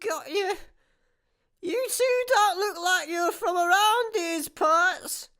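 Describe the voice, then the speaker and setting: A Scottish woman playing a cheeky, deadpan petrol station attendant in a sci-fi comedy radio play, dry and sarcastic. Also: very high voice, takes it slowly, exaggerated voice. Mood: chatty, dry. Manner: dry, self-aware, sarcastic.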